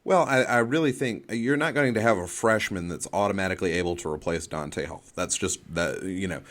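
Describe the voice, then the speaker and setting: A man's voice: low-pitched, fairly bright tone. A man co-hosting a sports talk show, analysing off the cuff and often repeating words and phrases as he goes. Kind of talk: unscripted sports discussion and analysis.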